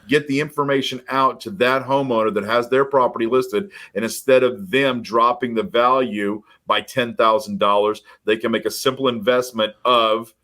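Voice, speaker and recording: A middle-aged man co-hosting a mortgage podcast, talking in an energetic, emphatic storytelling way. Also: medium pitch, normal speed, few pauses. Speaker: podcast co-host, mortgage professional. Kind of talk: casual chat.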